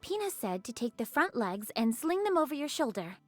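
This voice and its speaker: A woman's voice: high voice, sing-song, a little fast, dry recording, faint music behind. A woman voicing a composed, practical young squad leader in an anime-style game, polite and matter-of-fact.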